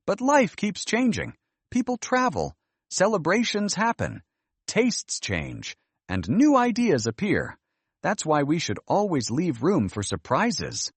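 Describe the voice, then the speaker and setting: Man voicing a kindly, wise storybook grandma, very animated. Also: sits high, takes it slowly, slightly rough, no room sound, voice pushed up, exaggerated voice. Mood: gentle, thoughtful. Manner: gentle, encouraging, expressive.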